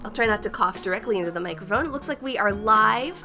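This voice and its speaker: A woman's voice: medium pitch, expressive, quick pace, over music. A woman hosting a casual livestream, slightly stuffy from a cold, chatty and upbeat, greeting viewers by name and laughing often. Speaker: livestream host.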